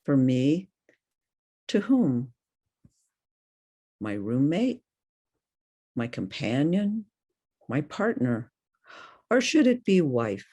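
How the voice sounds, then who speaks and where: Older woman, poetry reading, unhurried pace, deliberate, questioning delivery. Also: deep voice, big pitch swings, warm tone. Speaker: poet.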